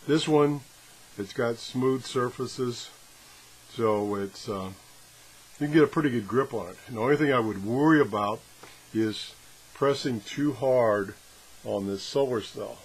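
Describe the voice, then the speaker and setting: A man's voice: medium pitch, unhurried. A man talking casually and off the cuff as he tests a product, thinking aloud with a self-deprecating, hands-on manner. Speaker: product reviewer. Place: home workshop, indoors. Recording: clearly noisy workshop recording.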